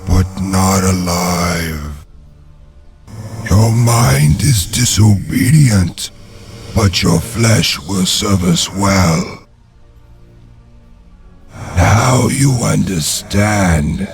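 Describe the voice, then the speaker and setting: A sinister slave-master villain in a video game. The voice is very deep and gravelly, and he speaks extremely slowly with cold, merciless menace. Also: expressive, soft background music, heavily acted. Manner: slow, ominous, menacing.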